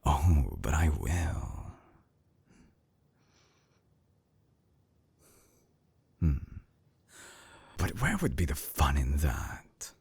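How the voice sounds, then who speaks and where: A man playing an obsessive, calculating mad-scientist villain in an intimate audio drama, coaxing in a husky half-whisper with slow, silky menace. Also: big pitch swings, a little mellow, no echo, exaggerated voice. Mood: teasing menace. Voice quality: very deep, gravelly, husky, breathy half-whisper. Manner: slow, deliberate, silky menace with lingering pauses. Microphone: close binaural microphone, very dry.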